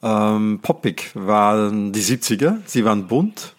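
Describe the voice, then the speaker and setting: A man hosting a podcast interview, talking casually and often pausing with fillers. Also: on the low side, lively intonation. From Netherlands. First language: Dutch. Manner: prepared, hesitates with "ähm". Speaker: podcast host.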